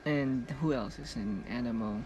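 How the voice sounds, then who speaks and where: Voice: medium pitch, moderate pace, a little mellow, highs cut off, a little hiss or hum. A streamer giving casual game reaction commentary in short interjections, often echoing phrases. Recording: game music behind.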